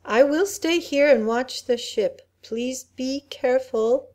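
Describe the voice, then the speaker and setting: A woman's voice: sits high, takes it slowly, darkish tone. A woman playing a polite, helpful protocol droid in a family radio play, speaking in a formal, courteous way. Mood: courteous concern.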